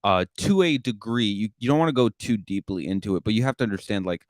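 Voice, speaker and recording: Low-voiced rambling comedian. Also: male voice, fast, dry recording, clean studio sound. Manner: rambling, self-deprecating, lots of fillers. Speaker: comedian podcast host. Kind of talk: casual chat.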